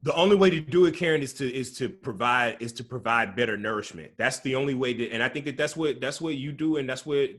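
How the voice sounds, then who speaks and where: A man's voice: medium pitch, a bit bright. A man as a guest commentator, talking earnestly in a casual discussion, often restarting his phrases. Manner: repetitive restarts, earnest, rallying.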